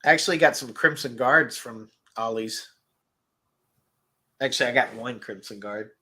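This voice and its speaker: A man hosting a relaxed stream, chatting and reading viewer comments, his voice nasal and stuffed up. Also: mid-range voice, moderate pace, husky. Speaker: host. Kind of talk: casual livestream chat about toys.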